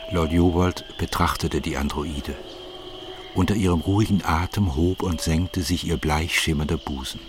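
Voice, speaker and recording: A man reading scripted literary narration in a measured, formal manner. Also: sits low, noisy background.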